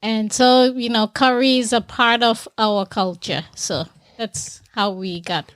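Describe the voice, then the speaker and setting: A woman's voice: a little high, fairly even intonation, measured pace, a bit bright, no echo, clean studio sound. A woman from Trinidad and Tobago co-hosting a podcast, laid-back and brushing off teasing with short replies. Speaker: podcast co-host. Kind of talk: casual chat.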